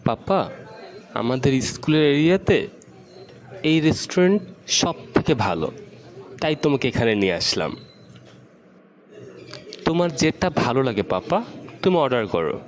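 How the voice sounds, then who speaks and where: A man's voice: medium pitch, very animated, a little slow, long pauses, a little hiss or hum. A man playing a dutiful, affectionate schoolboy son in an amateur educational cartoon, polite and earnest.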